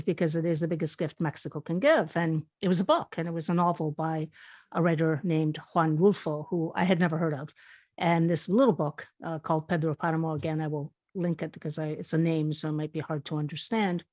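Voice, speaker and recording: A middle-aged woman from Spain with a lowish voice tells a long story on a podcast. She talks in an animated, chatty way, often restarting her sentences. The recording is dry and close. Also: dry recording. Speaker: podcast co-host. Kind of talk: casual storytelling chat.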